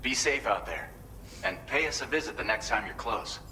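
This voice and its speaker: A man's voice: mid-range voice, animated, moderate pace, bright, forceful tone, a little hiss or hum, low-quality recording. A man playing a friendly, earnest colleague who gives a chatty, considerate briefing in a video game. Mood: upbeat, helpful.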